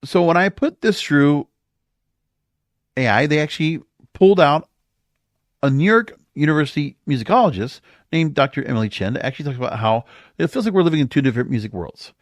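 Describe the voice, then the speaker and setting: A man's voice: a little high, expressive, fairly quick, no room sound, studio quality. An American man giving opinionated solo podcast commentary in a rambling, exasperated manner full of rhetorical questions. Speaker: podcast host.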